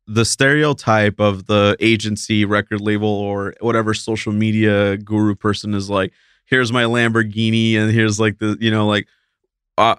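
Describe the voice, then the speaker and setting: A man's voice: lowish voice, fairly bright tone, clean tone, dry recording, studio quality. A man from Mexico talking casually as a guest in an interview, easygoing and full of fillers and restarts. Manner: laid-back, filler-heavy, restarts a lot. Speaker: guest, talent agency principal.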